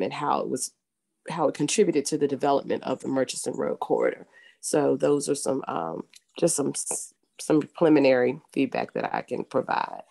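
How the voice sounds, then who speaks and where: A hoarse woman with a croaky voice speaking in a meeting over a video call, plainspoken and a little apologetic. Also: a bass voice, darkish tone. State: hoarse, recovering from illness. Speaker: community working group member. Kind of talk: casual meeting remarks.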